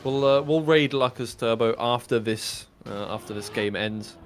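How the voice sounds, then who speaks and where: A man's voice: medium pitch, moderate pace, a little hiss or hum. A man casting a live racing esports match, talking dryly and teasingly with lots of filler words. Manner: dry, teasing, many fillers and restarts. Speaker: esports caster. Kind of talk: casual live esports commentary.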